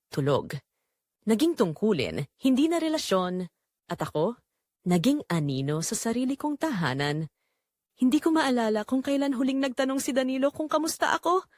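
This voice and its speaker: A woman performs a neglected wife in her forties, wistful and conflicted. Her pitch moves in lively swings as she confides in short dramatic phrases. The recording is dry and close, in the style of an audio drama. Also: no room sound, lightly acted. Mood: weary, wistful. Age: 40s. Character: Rosa, lonely neglected forty-three-year-old wife, wistful and conflicted. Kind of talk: first-person dramatic audio story narration.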